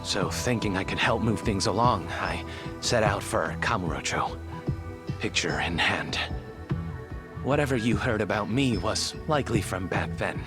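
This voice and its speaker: A middle-aged doctor in a video game drama, speaking in a low register. He is formal and courteous but hesitant, as if guarding something. Music plays behind him. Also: lively intonation, a little slow. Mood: measured, explanatory. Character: evasive middle-aged male doctor, courteous, measured, cornered.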